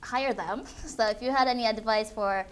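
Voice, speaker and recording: A woman moderating a live conversation, asking long questions with frequent restarts and "uh" or "um" pauses. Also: on the high side, slight background noise. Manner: halting, restarts often with "uh" and "um". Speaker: interview moderator.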